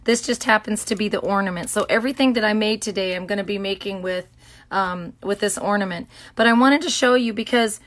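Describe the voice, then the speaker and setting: Hoarse middle-aged woman, chatty craft livestream host at her desk, loose and rambling with lots of ums. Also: medium pitch, fairly bright tone. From Florida. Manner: chatty, greets viewers by name, many ums. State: hoarse, sore throat. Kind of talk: casual livestream demo. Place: home craft studio.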